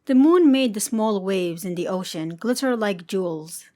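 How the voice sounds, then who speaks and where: A woman reads prose fiction aloud as a narrator. Her voice sits at an average pitch for a woman. The delivery is scripted storytelling, in the manner of an amateur audiobook. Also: animated, moderate pace, dark tone. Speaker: podfic narrator.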